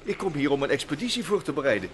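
A fussy, easily flustered professor and inventor, played by a man in a vintage radio drama. His voice sits fairly high and swings widely in pitch. A clear hiss runs under the old recording. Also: very animated, rapid-fire. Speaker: voice actor. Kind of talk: vintage Dutch radio play acting.

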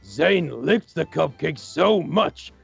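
A man playing an excitable young man in a Minecraft roleplay, reading silly fan fiction aloud with a gravelly voice. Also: fairly high pitch, slow pace, faint music behind, full character voice. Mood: dramatic storytelling. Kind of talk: hammy storytelling in Minecraft roleplay.

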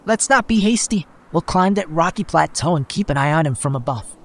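A man's voice: fairly high pitch, expressive, brisk, pitched above the performer's own voice. A man voicing an earnest, hesitant boy adventurer in an audiobook. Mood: cautious, determined. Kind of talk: audiobook character voice.

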